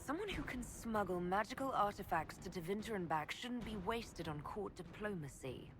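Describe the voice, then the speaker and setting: A woman's voice: medium pitch, expressive, normal speed, music in the background, lightly acted. An actress voicing a resolute female Inquisitor who speaks firmly and decisively. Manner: firm, decisive.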